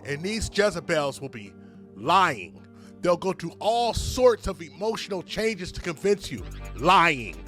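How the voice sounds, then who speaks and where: A man's voice: high voice, very animated, a little slow, fairly bright tone, faint music behind. A man co-hosting a podcast with a preachy, rhythmic, sermon-like delivery.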